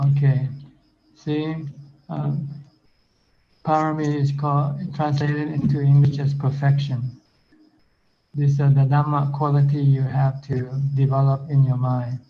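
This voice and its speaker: A male Buddhist monk talks through a teaching in an even, level tone, with little rise or fall. He repeats himself and leans on homely comparisons to make points. The sound is muffled, lo-fi video call audio. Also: a little high, a little slow, gravelly. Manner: plain, repetitive teaching with simple analogies. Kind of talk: informal Dhamma talk and Q&A.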